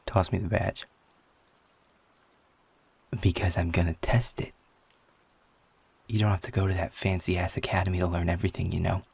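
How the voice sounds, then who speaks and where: A man playing a guarded, sarcastic, defiant werewolf in an intimate audio roleplay, talking bluntly with a husky, gravelly voice. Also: deep voice, level tone, moderate pace, long pauses, a little mellow, heavily acted. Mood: suspicious, demanding. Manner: sardonic, blunt, swearing, confrontational.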